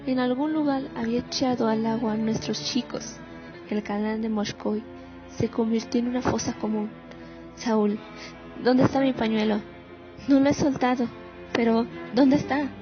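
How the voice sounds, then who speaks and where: A woman's voice: on the high side, fairly even intonation, moderate pace, close to an everyday voice. Girl acting as a hurt but proud lady war vet, radio play, dull audio w music behind. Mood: mournful, haunted. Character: proud, wounded female war veteran recounting her memories. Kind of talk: radio drama monologue. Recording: muffled audio with music underneath.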